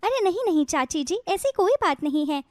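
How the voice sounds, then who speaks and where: A sweet, modest young daughter-in-law, played by a woman in a cartoon moral tale. The voice is extremely high, polite and dutiful, in a dry recording. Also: lively intonation, moderate pace, no echo. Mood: cheerful, polite. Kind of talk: acted cartoon moral-story dialogue.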